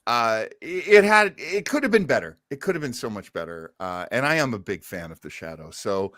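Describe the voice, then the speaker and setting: A man's voice: mid-range voice, lively intonation, fairly quick, a bit bright, no echo. Male podcast host, enthusiastic rambling storyteller, casual delivery, dry close recording. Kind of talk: casual chat about old time radio.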